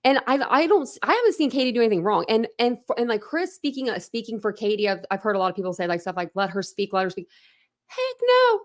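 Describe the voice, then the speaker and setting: A woman's voice: fairly high pitch, very animated, fast. A woman hosting a true crime livestream in casual, rambling commentary, often breaking off and restarting. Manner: rambling, chatty, self-interrupting with laughs. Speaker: true crime channel host.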